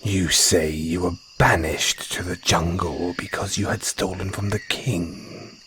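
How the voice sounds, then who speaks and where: A man acting a territorial male naga in an intimate audio roleplay, speaking in a hushed, deliberate, breathy voice with long pauses. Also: deep voice, fairly even intonation, a little hiss or hum. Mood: wary, questioning. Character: wary, territorial male naga, softening into flirtatious care. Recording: jungle insect ambience behind voice. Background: crickets and jungle ambience.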